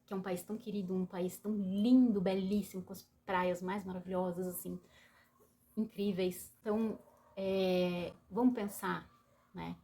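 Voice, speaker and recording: A Brazilian woman with a Brazilian Portuguese accent, chatting to camera on her channel, bouncing between excited bursts and soft, earnest asides. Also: mid-range voice, moderate pace. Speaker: YouTuber. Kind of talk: casual chat to camera.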